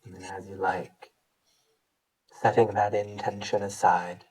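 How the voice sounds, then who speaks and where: A man teaching yoga, leading a guided meditation with gentle, unhurried instructions and pauses. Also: deep voice, level tone, breathy edge, far from the mic, poor audio.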